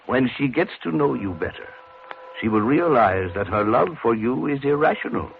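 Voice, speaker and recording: A man's voice: mid-range voice, lively intonation, moderate pace, creaky. A male actor playing a fussy, analytical, self-assured psychiatrist in a 1940s radio drama, speaking in an earnest, pedantic way. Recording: muffled, hissy 1940s radio broadcast.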